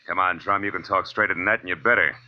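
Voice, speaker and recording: A low-pitched, hard-boiled male private eye played by a radio actor, tough and sardonic. His clipped lines come through a muffled old radio recording with dramatic music behind. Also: fairly even intonation, quick pace, natural voice. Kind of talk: 1940s radio detective acting, first-person narration.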